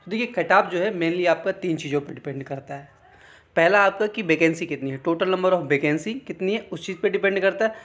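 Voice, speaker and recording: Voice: mid-range voice, brisk, a bit bright. Exam coach explaining informally. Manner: instructional, repeats points and often checks in with short tags.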